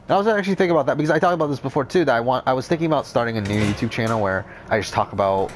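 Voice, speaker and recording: A young man with a cold, rambling through casual gameplay commentary, talking off the top of his head with a deep, unclear voice and frequent swearing. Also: racing, slight background noise. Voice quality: deep, not clear. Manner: rambling, unscripted, says whatever comes to mind, swears often. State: has a cold. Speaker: Let's Play commentator.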